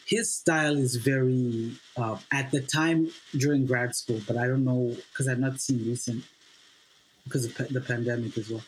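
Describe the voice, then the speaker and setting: A man's voice: medium pitch, steady tone, slight background noise, poor audio. Earnest man, native Swahili speaker. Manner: earnest, halting with fillers, building to emphatic repetition. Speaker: playwright guest. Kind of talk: podcast interview.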